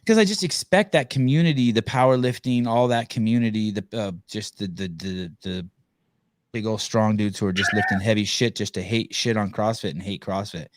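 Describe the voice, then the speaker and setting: Low-voiced rambling male podcast host. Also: brisk, no room sound, clean studio sound. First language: Armenian. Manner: rambling, restarts, casual swearing. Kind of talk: casual interview chat.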